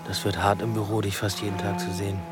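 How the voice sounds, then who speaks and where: A man's voice: lowish voice, monotone, fast, lightly acted. An actor playing a laconic male police detective, understated and natural, in a radio drama. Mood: intimate, low-key. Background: prominent music.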